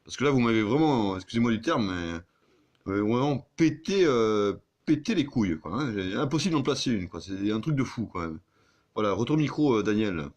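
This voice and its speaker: A man's voice: mid-range voice, lively intonation, fairly quick, a little mellow. A man chatting casually as an amateur radio operator, with crude, joking, sweary banter.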